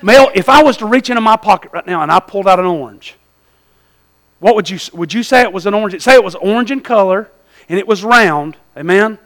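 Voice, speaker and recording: A man's voice: high-pitched, lively intonation, fairly quick, fairly bright tone. A man with a Southern US accent preaching an evangelistic sermon in a folksy, exhortatory way, putting questions to his listeners and repeating phrases for emphasis.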